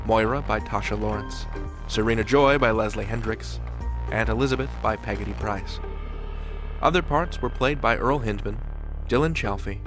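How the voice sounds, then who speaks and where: A man hosting a podcast, reading a scripted outro and credits in a measured, theatrical announcer style. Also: fairly low pitch. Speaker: podcast host.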